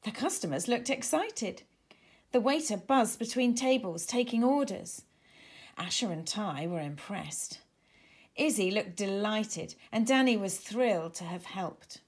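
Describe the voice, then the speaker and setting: A woman reading a children's storybook aloud in an expressive, deliberate way. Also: medium pitch, slightly rough. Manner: animated, deliberate. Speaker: author reading own storybook.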